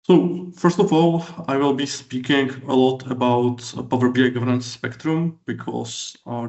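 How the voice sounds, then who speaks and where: A man with a Czech accent gives a technical conference talk in halting, hesitant non-native English, often repeating words. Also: medium pitch, a little mellow. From Czech Republic. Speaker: conference speaker, BI consultant and lecturer. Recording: roomy, echoing, slightly dull sound.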